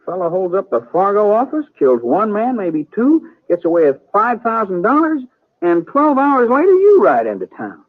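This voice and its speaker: An actor playing a talkative, friendly small-town blacksmith in an old radio western, with a husky voice and a rural Western American drawl. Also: male voice, sits high, wildly swooping pitch, normal speed, no echo, exaggerated voice. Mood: gossipy. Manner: folksy, garrulous, drawling. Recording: dry, muffled old radio recording.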